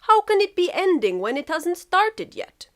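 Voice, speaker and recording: A woman's voice: very high-pitched, sing-song, moderate pace, a bit bright, voice pushed up, full character voice. A woman voicing a big, sly, drunken Russian man in a story, with an attempted Russian accent and terse speech. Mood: tipsy, insistent.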